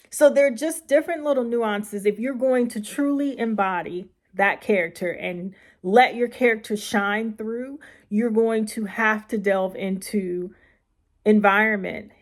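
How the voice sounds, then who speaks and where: A woman writer giving informal instructional advice, speaking in an emphatic, deliberate way with stressed repeats. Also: medium pitch. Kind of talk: informal instructional talk to camera.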